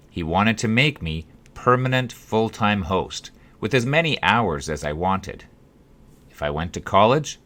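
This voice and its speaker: A man reading his own story as an audiobook, in a wry, dryly humorous way with dramatic pauses. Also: on the low side, fairly bright tone. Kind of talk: scripted audiobook narration of a memoir-like story.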